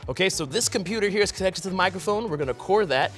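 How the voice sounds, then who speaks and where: Animated young man hosting kids' science. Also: high-pitched, very fast. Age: 20s. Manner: animated, encouraging, exclamatory. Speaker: science show host. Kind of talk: upbeat kids' science demonstration. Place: TV studio set. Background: music.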